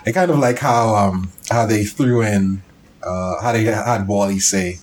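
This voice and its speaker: A man's voice: lowish voice, measured pace. A man co-hosting a casual podcast, joking and acting out mock quotes as he talks. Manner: animated, jokey, acts out mock quotes. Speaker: podcast co-host. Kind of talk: casual chat.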